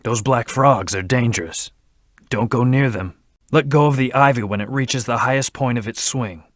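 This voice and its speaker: A man's voice: medium pitch, normal speed, dry recording, clean studio sound, lightly acted. A man voicing a brooding, terse hedgehog antihero in a video game, with a serious, clipped, commanding delivery. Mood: brooding, measured. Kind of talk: video game character voice lines.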